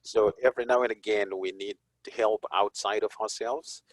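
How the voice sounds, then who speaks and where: A man from Zimbabwe, a police chaplain and guest, talking in an unhurried, reflective way with frequent chuckles. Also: fairly low pitch, steady tone, no room sound, low-quality recording. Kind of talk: conversational interview.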